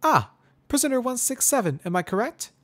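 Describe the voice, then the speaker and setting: Guy doing a prim girl pony student, way high voice, earnest and asking questions, storybook reading. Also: very animated, a little fast, fairly bright tone, full character voice. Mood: politely awkward. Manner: prim, questioning, forced friendliness. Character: polite, earnest young female pony student, easily frustrated. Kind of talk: dramatic reading of fan fiction.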